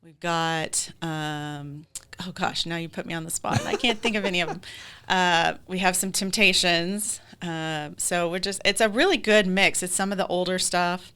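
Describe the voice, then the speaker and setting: Low-pitched, crisp-voiced American woman. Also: fairly even intonation, moderate pace, pushed and bright. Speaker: guest, theater director. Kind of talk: casual interview chat.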